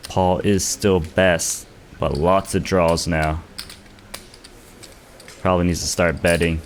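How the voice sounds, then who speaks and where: A man giving play-by-play commentary on a poker game, reading each hand in a measured, analytical way. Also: low voice, flat delivery, slight background noise.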